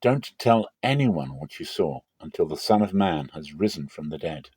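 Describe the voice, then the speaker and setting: A man voicing a solemn, authoritative male teacher and prophet in a scripture reading, grave, emphatic and deliberate. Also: a bass voice, expressive, darkish tone, husky, dry recording, pitched below the performer's own voice, exaggerated voice. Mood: gentle authority.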